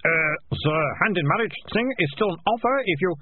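Man playing a fussy, dithering, high-voiced town mayor. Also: expressive, moderate pace, husky, narrow, tinny audio. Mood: awkward. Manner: hesitant, stammering, fussy.

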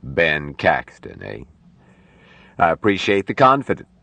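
Man playing a gruff, bossy old doctor, low-voiced. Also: wildly swooping pitch, unhurried, pushed and bright, dry recording, exaggerated voice. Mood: brisk, dry. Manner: curt commands and dry wisecracks.

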